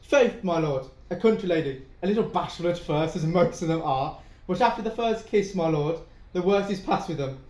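A man plays a sly, flattering go-between in a Jacobean audio drama. The high male voice is insinuating, in a roomy, echoing space. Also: moderate pace. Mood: sly, coaxing.

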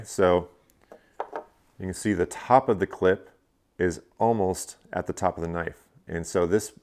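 A man in his thirties casually reviewing gear in a living room, explaining step by step with frequent fillers. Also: sits low. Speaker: fishing channel host. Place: living room, indoors, seated on a couch.

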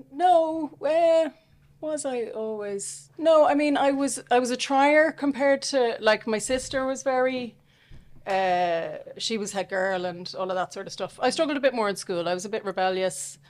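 A woman's voice: a little high, unhurried, darkish tone. A woman in her forties with a Dublin Irish accent, chatting thoughtfully as a podcast guest with fillers and restarts, on a close studio mic. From Dublin, Ireland. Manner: thoughtful, self-deprecating, frequent fillers and restarts. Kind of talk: casual interview chat. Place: small podcast studio, indoors. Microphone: studio mic on a stand close up.

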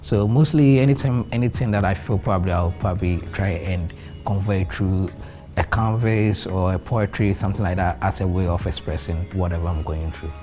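Young man, low register, earnest panel chat, faint music bed. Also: moderate pace, warm tone. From Ghana. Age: young adult. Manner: earnest, speaks with pride. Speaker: panel guest. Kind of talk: casual group conversation. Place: bright studio with plants, indoors.

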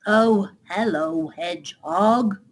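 A woman voicing a friendly, chilly otter in a children's storybook, talking in a drawn-out manner. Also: medium pitch.

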